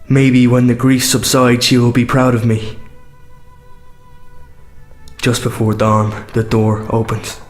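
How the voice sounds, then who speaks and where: An actor with a Northern Irish accent playing a condemned young man who calmly narrates his last letter, with soft music behind. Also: mid-range voice, close to an everyday voice. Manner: slow, resigned narration.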